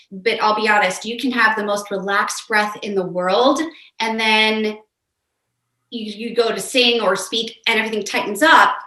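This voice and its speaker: A middle-aged woman coaching voice in a relaxed, halting way, restarting phrases as she thinks. Her voice is bright and forward, heard in a roomy, echoey space. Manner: explains with many restarts, demonstrates pitch by voice. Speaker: guest voice coach. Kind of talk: conversational explanation.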